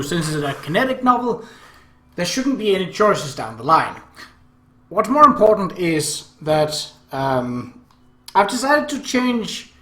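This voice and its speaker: Animated man with a high, bright voice. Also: echoey room. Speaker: let's play host. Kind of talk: let's play commentary and reading aloud of a visual novel.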